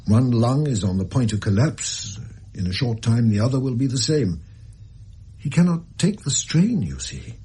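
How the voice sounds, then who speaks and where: An actor playing a grave, courteous doctor in a radio drama, speaking formally and frankly in a raspy voice. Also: male voice, mid-range voice, mellow. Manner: formal, measured, regretful.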